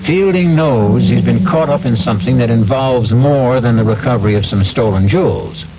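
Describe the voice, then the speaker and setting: A man hosting a radio drama with ominous, deliberate scripted narration. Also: medium pitch. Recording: dull vintage radio broadcast with music.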